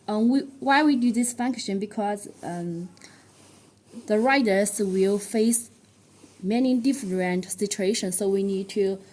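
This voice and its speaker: A woman who speaks English as a second language, presenting a product tutorial in a halting, step-by-step way. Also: medium pitch, a little mellow. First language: not English. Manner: halting, step-by-step delivery with non-native phrasing.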